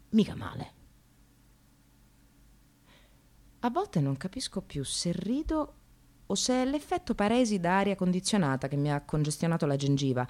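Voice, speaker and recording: Radio monologue: sardonic female temp worker, slightly low register, very animated intonation. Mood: wry, weary. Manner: sardonic, expressive, swearing, addressing the audience. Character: bitter, wry, precariously employed female receptionist, educated.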